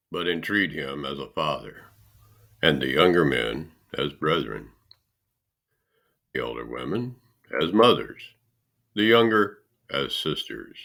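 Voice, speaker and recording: A man reading scripture aloud in a solemn style, with emphatic, deliberate phrasing and pauses. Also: a bass voice, bright, forceful tone. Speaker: scripture reader.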